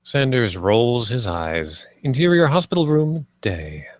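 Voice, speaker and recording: Guy reading screenplay stage directions, medium pitch, dry recording. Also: big pitch swings, measured pace, no room sound. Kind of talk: scripted narration at a screenplay table read.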